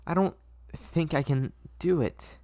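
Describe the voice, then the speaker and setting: A man's voice: on the high side, a little slow, dark tone, pitched above the performer's own voice, natural voice. A man voicing a frightened, anxious young woman, hesitant and stammering in a dramatic script reading. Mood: frightened, panicked. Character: frightened, anxious young woman patient being turned into doll.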